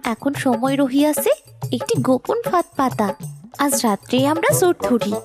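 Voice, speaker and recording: A woman's voice: high voice, very animated, measured pace, music in the background, full character voice. A woman voicing a pompous village aunt in a cartoon, speaking in archaic formal phrases like a philosophical detective. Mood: conspiratorial. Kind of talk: cartoon voice acting.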